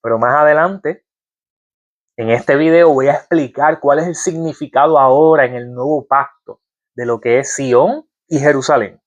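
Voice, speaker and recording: A man's voice: mid-range voice, normal speed, dull audio. A man giving a Bible-teaching sermon, reading verses aloud and asking his listeners rhetorical questions. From Puerto Rico. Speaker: preacher.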